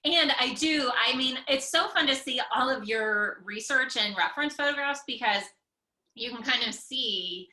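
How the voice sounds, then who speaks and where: Bright, higher-voiced woman host in echoey room. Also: moderate pace. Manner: enthusiastic, encouraging. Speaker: interviewer, host. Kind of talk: casual interview chat. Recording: roomy, echoing sound.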